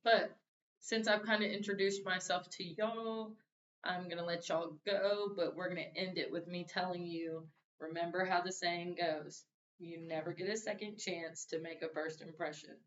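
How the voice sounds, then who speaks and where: Young woman w a deep voice giving a class intro speech, pretty flat delivery, mic far off in an echoey room. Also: normal speed. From Louisiana and Arkansas. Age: young adult. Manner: relaxed, conversational, with laughs. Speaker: student. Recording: roomy, distant, dull mic with faint hiss.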